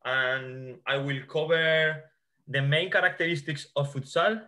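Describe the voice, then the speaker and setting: A man's voice: on the high side, measured pace, pushed and bright. A man in his thirties from Spain with a Spanish accent, explaining sport science as a guest on a video call and often repeating words as he restarts. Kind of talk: explaining a slide presentation over a video call. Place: small indoor room. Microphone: video call webcam.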